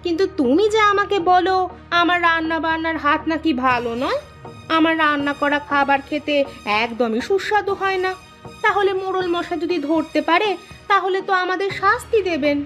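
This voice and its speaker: A woman playing a sweet, obedient, anxious village wife in a cartoon, speaking in a gentle, expressive way. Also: extremely high pitch, a little fast. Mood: doubtful, worried. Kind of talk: Bengali cartoon dialogue. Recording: music bed behind the voice.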